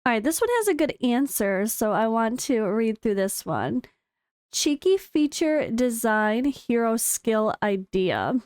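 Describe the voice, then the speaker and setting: High, slightly hoarse American woman gamer. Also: a little slow, no room sound, studio quality. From United States. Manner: chatty and excitable, with frequent fillers. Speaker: gaming content creator. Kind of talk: casual commentary reading developer notes.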